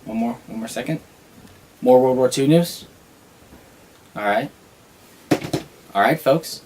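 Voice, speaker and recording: A man's voice: medium pitch, slow pace, a little mellow. A man playing a news anchor in a casual student skit, loose and stumbling over his words.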